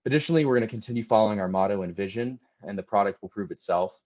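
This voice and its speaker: A man's voice: on the low side, rapid-fire, a little mellow. A man giving a prepared business presentation as a presenter, somewhat hesitant with frequent ums. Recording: dull lo-fi audio.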